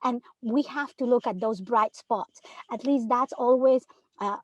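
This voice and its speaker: A woman's voice: a little high, unhurried, darkish tone, no room sound, highs cut off. A woman from Peru, a third grade teacher, speaking earnestly on a panel discussion and often asking "right?". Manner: earnest, emphatic, many rhetorical "right?".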